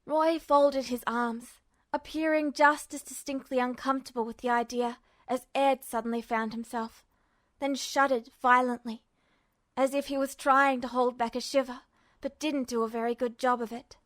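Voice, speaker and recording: High-voiced woman narrating dramatic fiction. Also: steady tone, moderate pace, a bit bright. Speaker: podfic narrator. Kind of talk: expressive audiobook narration of fan fiction.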